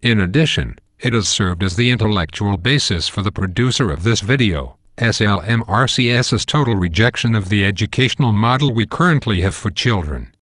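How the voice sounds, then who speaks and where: A synthetic text-to-speech man voicing an animated host, giving a scripted presentation in a formal, explanatory way. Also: on the low side, brisk, few pauses, dry recording, clean studio sound.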